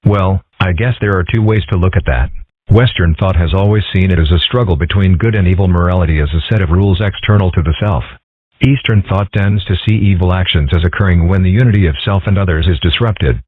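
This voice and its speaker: A man plays a young cartoon fox who muses calmly and wryly. The voice is low and slightly rough, with deadpan, measured delivery. The audio is dry and phone-thin. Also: no room sound. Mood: calm, musing. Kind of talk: comic strip dub. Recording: muffled, phone-like narrow audio.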